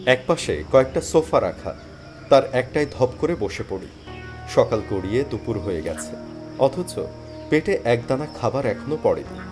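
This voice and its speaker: Male actor as down-and-out job seeker, animated plaintive delivery, cartoon story narration, music behind. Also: mid-range voice, normal speed, natural voice. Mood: weary, reflective. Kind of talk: first-person dramatic story narration and character dialogue. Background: background music.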